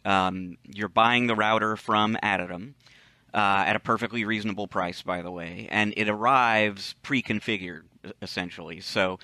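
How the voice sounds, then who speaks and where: Low, bright-toned male podcast co-host. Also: dry recording. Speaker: podcast co-host, tech industry commentator. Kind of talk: casual explanatory podcast commentary.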